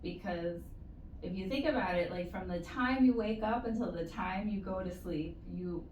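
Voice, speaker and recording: A woman giving measured, explanatory advice as an interview guest, in a conversational way with stretched emphasis. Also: fairly low pitch, darkish tone, lo-fi sound. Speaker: interview guest, university postdoctoral support staff. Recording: roomy echo, distant mic, faint noise.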